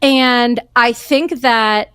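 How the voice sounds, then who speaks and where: A woman's voice: a little high, very slow, bright, forceful tone, clean tone, dry recording, studio quality. A woman hosting a podcast, talking in an earnest, emphatic way in casual conversation. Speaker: podcast host.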